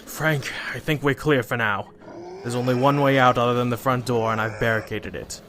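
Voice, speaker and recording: An actor playing a dry, guarded monster fighter with a gravelly voice and a matter-of-fact, deadpan delivery in an audio drama. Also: male voice, mid-range voice, normal speed, slight background noise, lightly acted. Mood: wary, practical.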